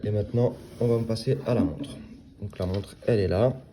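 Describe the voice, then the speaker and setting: A man's voice: lowish voice, a little fast, mellow, slight background noise. A man in his thirties giving a casual, hesitant unboxing review of a watch, with many fillers and restarts. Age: 30s.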